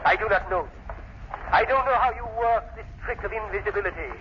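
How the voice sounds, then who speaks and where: Man acting a high-voiced gloating mad professor. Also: fairly quick, lightly acted. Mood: defiant. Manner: theatrical, gloating, condescending. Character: vengeful mad professor villain, gloating and scheming. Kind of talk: old-time radio drama acting. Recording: noisy, muffled old radio recording.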